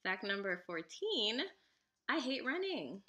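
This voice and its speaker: A woman's voice: medium pitch, very animated, takes it slowly, sharp tone. A young woman whose first language is Igbo, chatting casually to camera with frequent giggles and fillers. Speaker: vlogger.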